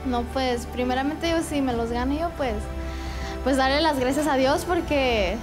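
A woman's voice: high voice, normal speed. A young woman from the United States, a talent show finalist speaking hesitantly and humbly on a TV stage. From Kansas, United States. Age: young adult. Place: large TV studio stage. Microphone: handheld microphone held to her. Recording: live stage with music and crowd behind.

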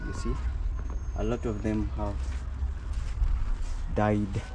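Relaxed Kenyan man vlogging outdoors. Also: takes it slowly. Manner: relaxed, low-key, addressing viewers as "guys". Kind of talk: casual vlog commentary. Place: outdoors in a rural yard. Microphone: small clip-on mic. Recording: outdoor noise behind the voice.

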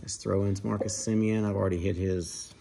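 A man casually commenting to viewers while opening trading card packs at a dining table at home, in a relaxed, chatty way. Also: lowish voice, a little fast, vocal fry, a little hiss or hum. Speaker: card breaker, channel host.

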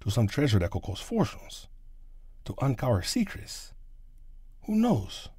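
A man playing an excitable adventurer, eager and full of wondering curiosity. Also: mid-range voice, unhurried, husky, voice pushed up, full character voice. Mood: excited curiosity. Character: curious, excitable male adventurer dreaming of secrets.

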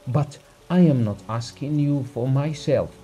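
A man voicing a gentleman who begs a doctor for help in an imploring, flowery, stilted storybook manner. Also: mid-range voice, expressive, unhurried, voice pushed up, natural voice. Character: well-off gentleman desperately begging a doctor for help.

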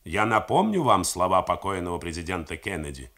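A man reading the part of an older defense minister who speaks slowly and deliberately. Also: low-pitched, big pitch swings, bright, forceful tone, full character voice. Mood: grim. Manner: slow, deliberate, emphatic. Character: blunt older male defense minister, measured. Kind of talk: radio drama reading.

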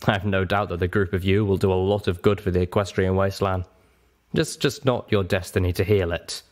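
A man's voice: sits low, steady tone, brisk, close to an everyday voice. A man as a weary, grave old dragon guardian. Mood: consoling. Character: ancient lonely male dragon guardian, weary, blunt. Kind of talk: audiobook character voice.

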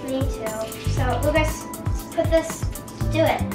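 High-voiced young girl hosting a kitchen vlog. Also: slow pace, far from the mic. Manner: bossy, chatty, keeps things moving. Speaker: host. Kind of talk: casual kitchen vlog chat. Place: home kitchen. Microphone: camera across the counter. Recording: echoey kitchen, from the camera, music behind.

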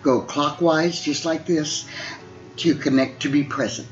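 A storyteller doing a deep, calm bear healer. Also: unhurried, muffled recording, faint music behind, close to an everyday voice.

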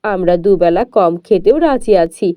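A woman's voice: mid-range voice, expressive, measured pace, dark tone, no echo, close to an everyday voice. A woman voicing simple villagers in a children's cartoon fairy tale. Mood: humbled. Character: simple village folk speaking to young heroine.

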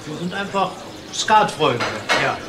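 A nervous, apologetic man who works in a restaurant in a crime drama. He hesitates and repeats himself evasively, in an echoey room with clear noise behind. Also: high-pitched, lively intonation, measured pace. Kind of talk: dramatic dialogue acting. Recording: noisy, echoey film dialogue.

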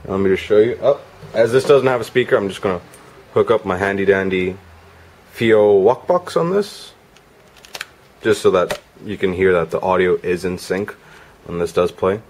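A man's voice: low-pitched, moderate pace, long pauses, darkish tone, slight background noise. A man giving a casual hands-on gadget demo in an informal, off-the-cuff way. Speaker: product reviewer. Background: music from demo videos on a player.

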